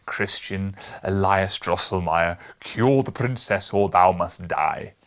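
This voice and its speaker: A man voicing a melodramatic storybook king who laments and threatens in a grand, drawn-out, declamatory way. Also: deep voice, fairly bright tone, exaggerated voice. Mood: stern, threatening.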